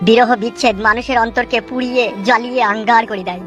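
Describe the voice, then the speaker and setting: A woman's voice: on the high side, fairly even intonation, fast talker, a bit bright, faint music behind, close to an everyday voice. A woman voicing a sly, scheming young male friend in a comedy cartoon, slangy and insinuating. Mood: probing. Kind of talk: Bengali comedy cartoon dub.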